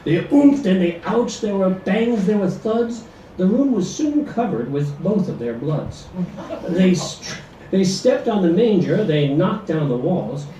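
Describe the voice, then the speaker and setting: A man recites rhyming comic verse in a theatrical manner. His pitch is high and swings widely, and the recording is distant and roomy, with some noise behind him. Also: big pitch swings, measured pace, warm tone. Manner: dramatic, playing to an audience. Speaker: reader performing a comic poem. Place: club performance room. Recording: echoey, noisy stage recording from far off.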